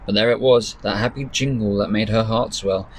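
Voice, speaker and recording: Low-voiced man reading a story aloud. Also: fairly even intonation, normal speed. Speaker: author reading own story. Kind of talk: dramatic storytelling narration. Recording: faint background noise.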